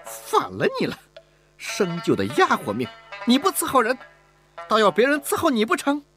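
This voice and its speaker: A man's voice: high voice, wildly swooping pitch, moderate pace, sharp tone, faint music behind, pitched above the performer's own voice, heavily acted. A man voicing a haughty matriarch. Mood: furious. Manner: scornful, commanding. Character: stern haughty woman, head of a rich household.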